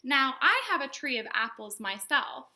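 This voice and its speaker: Bright, high-voiced woman hosting children's storytime. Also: moderate pace, a little hiss or hum. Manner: animated, exaggerated questions and exclamations for young children; simple, explanatory phrasing. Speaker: children's librarian and storytime host. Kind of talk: children's storytime, sing-song rhymes and counting.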